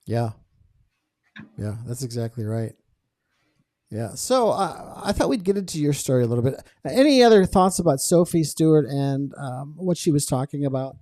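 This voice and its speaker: A man's voice: medium pitch, animated, moderate pace, warm tone, dry recording, studio quality. A man hosting a conversational podcast, speaking earnestly about faith and posing rhetorical questions as he goes. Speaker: podcast host and interviewer. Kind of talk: conversational podcast interview with religious commentary.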